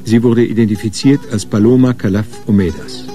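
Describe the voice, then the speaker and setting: A man narrating a radio crime drama from a script in a measured, sober way. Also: on the low side, over music.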